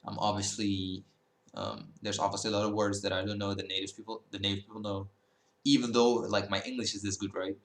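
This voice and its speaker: A man's voice: fairly low pitch, flat delivery, brisk, reverberant. A man in his early twenties, talking casually to camera in a rambling way, full of fillers and self-corrections. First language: Dutch. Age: 20s. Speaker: language-learning YouTuber.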